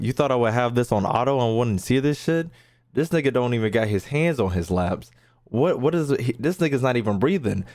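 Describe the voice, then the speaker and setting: Animated, profane male game streamer. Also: medium pitch. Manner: profane, slangy, mock-outraged heckling. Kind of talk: casual gameplay reaction commentary. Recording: faint game music behind the voice.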